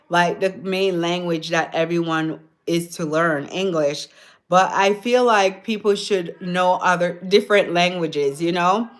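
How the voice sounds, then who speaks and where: Jamaican lady chatting on her livestream, slow and easy, reading comments. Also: fairly low pitch. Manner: chatty, answers comments, laughs often. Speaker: livestream host. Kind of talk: casual live-stream chat with viewers.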